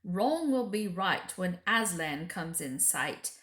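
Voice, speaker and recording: A woman's voice: fairly low pitch, expressive, slow pace, a bit bright. Woman playing an emphatic, folksy old-fashioned male beaver, roomy. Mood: assured. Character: gruff, earnest, reverent adult male talking beaver. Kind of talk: children's storybook character voice.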